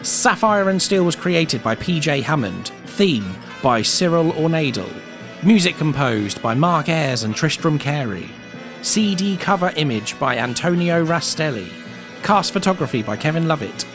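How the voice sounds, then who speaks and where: A man's voice: a little high, sing-song, moderate pace. A man announcing the credits of an audio drama in a formal, orderly scripted read. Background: music.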